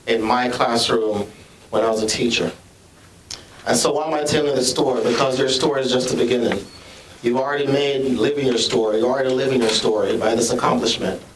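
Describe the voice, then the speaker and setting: A man's voice: medium pitch, slight background noise. Jamaican-accented man addressing students. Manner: earnest, measured, with repeated restarts. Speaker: school administrator. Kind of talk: ceremony speech. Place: school library, large room. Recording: echoey room, from far off.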